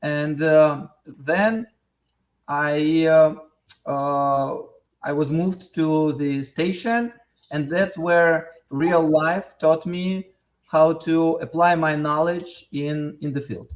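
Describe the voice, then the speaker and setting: Slow, halting Russian-accented man. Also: fairly high pitch, steady tone. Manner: halting, many fillers and restarts. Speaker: interview guest. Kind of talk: conversational interview answers.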